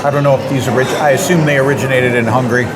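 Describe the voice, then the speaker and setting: An American man hosting a travel food show, chatting casually about pastries he tastes, with ums and pauses while eating in an indoor cafe with high ceilings. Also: fairly even intonation. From United States. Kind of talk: casual food tasting vlog. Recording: loud crowd noise behind. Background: busy crowd chatter.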